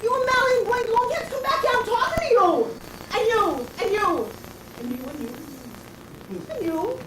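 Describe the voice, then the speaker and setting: A woman playing an eccentric, brash homeless bag lady with a raspy voice, who talks straight at the passersby in a comic stage monologue. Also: very high voice, lively intonation, unhurried, reverberant, distant mic, noisy background. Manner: confrontational, addresses passersby directly. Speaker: actress performing a stage monologue.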